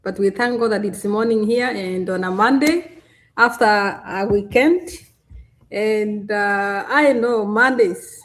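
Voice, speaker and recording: A woman hosts a live stream. Her voice sits at a middling pitch, and she chats along with plenty of ums and restarts. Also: slow pace. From Kenya. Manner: chatty, many fillers and restarts.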